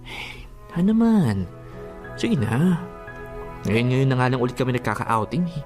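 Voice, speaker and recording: A man playing a gentle, devoted young boyfriend with a Filipino accent, pleading expressively in a radio drama. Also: medium pitch, very animated, normal speed, over music, natural voice. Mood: coaxing, pleading.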